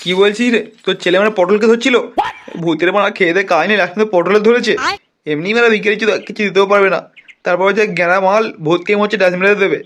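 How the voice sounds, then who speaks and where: A man's voice: high-pitched, quick pace, a bit bright. A man playing a brash, foul-mouthed village gang leader in a comic cartoon, scolding and blustering colloquially. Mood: gossiping.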